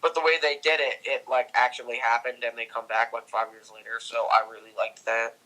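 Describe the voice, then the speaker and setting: A man's voice: mid-range voice, flat delivery, brisk, sharp tone, low-quality recording. A young man in casual film discussion, opinionated and insistent, often jumping in over the other speaker.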